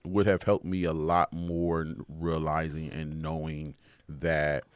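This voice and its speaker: Man, late 30s, deep voice, dry close sound, reflective therapist-host energy. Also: flat delivery, slow, clean tone, no echo. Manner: reflective, frequent "right?" tags. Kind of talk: casual conversation.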